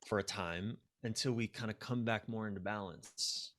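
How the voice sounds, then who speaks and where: A middle-aged man with a fairly low voice speaks as a guest in a conversational interview. He reflects aloud with emphasis, often halting and repeating a word before he carries on. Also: a bit bright. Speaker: podcast guest, life and relationship coach.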